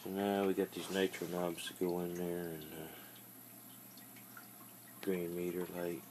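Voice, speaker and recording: A man talking casually through a hands-on repair demonstration, unscripted, in a plainspoken, halting, matter-of-fact way. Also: low-pitched, completely flat delivery, background noise. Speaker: hobbyist demonstrating a radio repair. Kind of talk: casual unscripted how-to narration.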